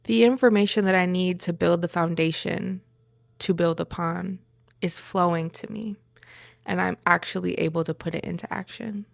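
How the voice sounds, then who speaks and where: A woman giving a reflective personal monologue in halting phrases, with pauses and emphatic repetition. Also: fairly low pitch, steady tone, vocal fry, no room sound, studio quality. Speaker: vlogger sharing a personal journey.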